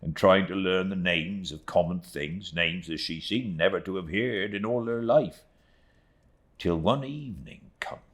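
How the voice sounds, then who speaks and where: An old fisherman, voiced by a man reading an audiobook, grieving and devoted. He speaks low and very slowly, in halting, heartfelt that moves between hushed and swelling. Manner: slow, emotional, halting storytelling with swelling and hushed moments. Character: grieving, devoted, rustic old English fisherman recounting his niece's ordeal.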